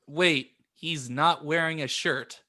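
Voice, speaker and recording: A man chatting casually as a podcast panelist, explaining things in a halting, hesitant way. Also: a little high, steady tone, bright, forceful tone. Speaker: podcast panelist, pizza chef. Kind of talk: casual chat.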